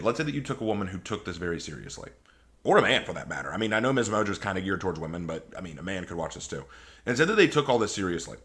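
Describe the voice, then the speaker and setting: A man's voice: fairly low pitch, fairly bright tone. A man hosting a reaction video with casual, rambling and opinionated commentary.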